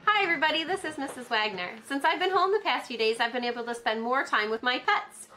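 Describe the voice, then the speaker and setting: A woman reading a picture book aloud to children from home, animated and gentle, pausing to ask her young listeners questions. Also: sits high, a little hiss or hum, low-quality recording. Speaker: school librarian reading aloud. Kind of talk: children's read-aloud storytelling.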